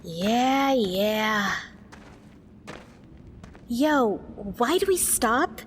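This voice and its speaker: A woman playing an excitable, mischievous, greedy young adventurer in an audio drama, playful and cheeky, with reverb. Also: a little high, drawn-out words, a little hiss or hum. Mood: curious. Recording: long reverb, music behind.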